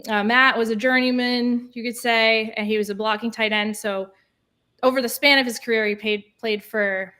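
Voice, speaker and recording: A woman in her thirties with a Maine accent chats as a guest on a live video call. Her voice sits a little high and sounds bright and forceful. She rambles cheerfully and laughs easily. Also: fairly even intonation, moderate pace. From Maine, USA. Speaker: guest, children's author.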